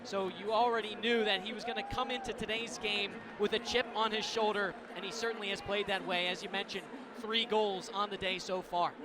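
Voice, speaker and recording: A man calling live lacrosse play-by-play on the radio in clipped present-tense phrases that build with the action. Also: high-pitched. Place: live broadcast at a sports venue. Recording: noisy outdoor stadium ambience.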